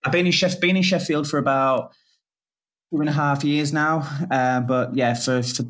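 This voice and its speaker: A man's voice: fairly high pitch, fairly even intonation, moderate pace, fairly bright tone. A man from Leeds, chatting hesitantly and stumbling over his words. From Leeds, England.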